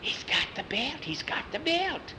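Man putting on a shrill, haughty witch. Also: sing-song, a little slow, background noise, full character voice. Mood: astonished glee. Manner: haughty, exaggerated delivery. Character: gleeful, crafty old witch sisters, cackling and haughty. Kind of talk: storybook character voices.

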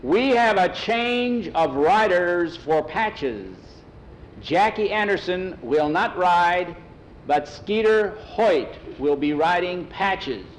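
A man voicing a formal racetrack announcer in a told story, projecting slowly in drawn-out phrases. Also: high-pitched, animated, slight background noise, voice pushed up, rough recording. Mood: formal, booming.

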